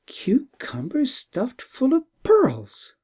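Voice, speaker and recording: A man voicing an earnest, practical young princess with formal, expressive storybook diction. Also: extremely high pitch, very slow, slightly rough, voice pushed up, heavily acted. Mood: amazed, doubtful. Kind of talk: audiobook storytelling.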